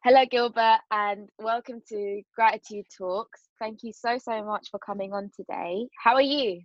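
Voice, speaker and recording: A woman's voice: on the high side, fairly even intonation, normal speed, no echo, muffled recording. A woman hosting a podcast, asking a guest questions in a friendly, encouraging way. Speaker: podcast host. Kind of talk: casual interview questions.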